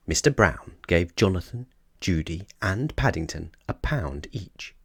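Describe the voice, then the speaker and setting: Low-voiced, lively man reading a storybook. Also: measured pace, breathy edge, no echo, studio quality. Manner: expressive storytelling. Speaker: narrator. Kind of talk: children's storybook narration.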